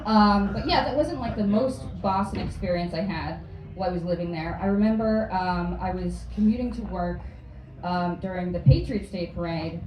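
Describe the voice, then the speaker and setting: A young woman from Florida doing a hesitant, deadpan stand-up set, full of ums and likes. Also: fairly low pitch, moderate pace. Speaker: stand-up comedian. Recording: distant, echoey club with noise.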